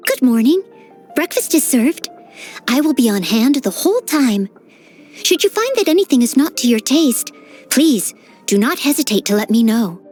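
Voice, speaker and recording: Game role: teenage maid knight. High, very animated delivery, courteous, earnest, eager to serve. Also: female voice, moderate pace. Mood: polite, cheerful. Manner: polite, formal, earnest, dutiful. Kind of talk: video game character voice lines. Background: background music.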